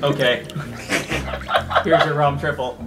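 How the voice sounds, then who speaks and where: A man's voice: on the high side, steady tone, slow pace. A man improvising casual in-character lines as a tabletop game player. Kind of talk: improvised roleplay. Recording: clear music behind voice.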